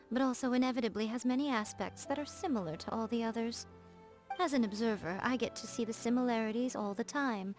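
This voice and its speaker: A woman playing a sly, elegant fox spirit shrine maiden in a video game, coy and self-assured, in measured teasing phrases. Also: a little high, darkish tone, lightly acted. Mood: fond, musing. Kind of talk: English video game character voice acting. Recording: music behind the voice. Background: background music.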